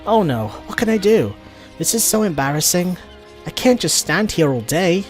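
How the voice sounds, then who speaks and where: A man's voice: high voice, very animated, moderate pace, faint music behind. A man voicing a flustered, fretful villager. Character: flustered, embarrassed handy villager with a broken door.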